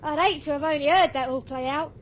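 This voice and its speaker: A woman's voice: high-pitched, fast, natural voice. A woman playing a plucky, earnest nine-year-old boy in a radio play, with old-fashioned urchin phrasing. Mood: chirpy. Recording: dull, lo-fi, faintly noisy recording.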